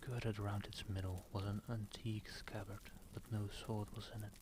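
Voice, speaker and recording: A man hosting an ASMR stream, speaking softly and often slipping into a whisper as he chats and reads. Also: on the low side, little pitch movement, normal speed, background noise. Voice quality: soft, often breaking into whisper. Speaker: live-stream host and reader. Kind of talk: ASMR, soft casual chat and storybook narration.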